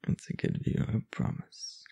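A young man playing a drunk, affectionate roommate in an intimate roleplay audio, slurring drowsily in a husky, halting voice. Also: mellow, lightly acted. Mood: cozy, affectionate. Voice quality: husky, breathy, gravelly low voice. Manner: slow, halting, slurred drowsy tipsy delivery. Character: drunk, affectionate young male roommate confessing his crush.

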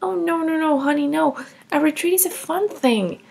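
A woman playing a frazzled pleading mom, raspy edge. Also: high-pitched, normal speed. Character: flustered mother of a young girl. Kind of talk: comedy skit role-play.

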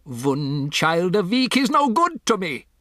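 A storybook narrator voicing a furious, imperious female witch leader, shrieking with drawn-out, emphatic words. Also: medium pitch, wildly swooping pitch, husky, no echo, clean studio sound, heavily acted. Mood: imperious fury. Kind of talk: theatrical storybook character voice.

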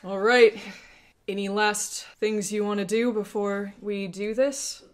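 A woman's voice: medium pitch, fairly even intonation, slow, a little hiss or hum. Woman game master narrating a tabletop game.

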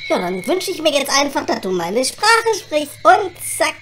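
A woman's voice: high voice, big pitch swings, moderate pace, fairly bright tone. A woman voicing a brash, feisty, grumbling elf with a blunt, colloquial manner in a comedy audio drama. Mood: determined. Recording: clearly noisy background.

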